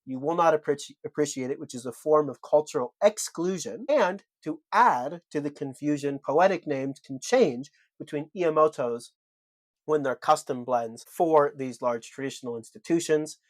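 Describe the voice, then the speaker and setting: Man, fairly high voice, dry close sound, emphatic podcast co-host, lists his points. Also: normal speed, dry recording. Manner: emphatic, builds arguments in lists. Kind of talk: argumentative podcast discussion.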